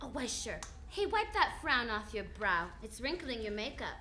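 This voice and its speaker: A breezy lady private eye narrates and banters in a live radio play. Her bright, fairly high voice is sassy and wry, always ready with a wisecrack. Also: sing-song, normal speed. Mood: teasing.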